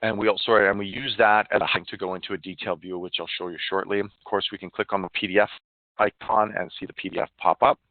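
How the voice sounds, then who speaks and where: A man presenting a software demo in an informal, explanatory walkthrough, plain-spoken, with frequent ums and uhs. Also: on the low side, fairly even intonation, fast, no echo. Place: online screen-share demonstration. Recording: narrow phone-like line.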